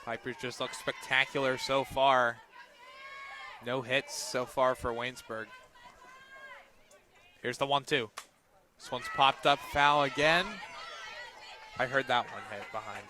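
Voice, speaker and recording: A man doing live play-by-play commentary of a softball game for radio, outdoors at a ballfield. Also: medium pitch, fairly even intonation, measured pace, pauses often, some chatter behind, a little hiss or hum.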